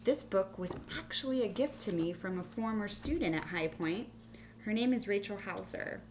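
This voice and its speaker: A woman's voice: mid-range voice, expressive, normal speed, a little mellow. A woman teacher giving a read-aloud lesson to children, explaining instructively and thinking aloud with questions to her listeners. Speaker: elementary school teacher. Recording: clearly audible hum and background noise.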